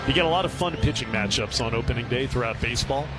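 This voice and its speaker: A man's voice: mid-range voice, expressive, fast, over loud music, poor audio. A man doing baseball play-by-play on the radio, with clipped, descriptive calls that rise on the action. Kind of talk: radio sports commentary.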